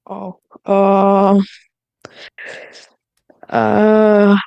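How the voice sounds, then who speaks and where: Woman, smooth clear tone, vocal fry, hesitant, flustered, casual podcast panelist. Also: medium pitch, monotone, drawn-out words, no room sound, dull audio. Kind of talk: casual, unprepared chat.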